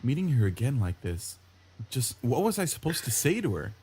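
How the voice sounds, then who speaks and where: A man's voice: mid-range voice, very animated, moderate pace, slight background noise, natural voice. A man reading visual novel narration aloud as an introspective, wry sixteen-year-old schoolboy. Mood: pensive. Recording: loud guitar music under the voice. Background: guitar background music.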